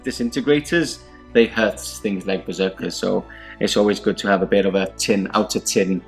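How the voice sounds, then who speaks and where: A man's voice: lowish voice, normal speed, vocal fry, faint music behind. A man whose first language is Spanish, hosting a tabletop wargame show with casual, unscripted rundowns. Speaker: host of a tabletop wargame battle report show.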